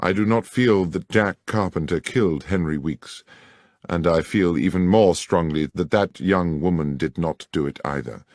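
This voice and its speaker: A man playing a shrewd gentleman detective with an English accent, formal, precise and authoritative. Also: low voice, normal speed, no echo, studio quality. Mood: pensive. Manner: crisp, precise, formal, commanding.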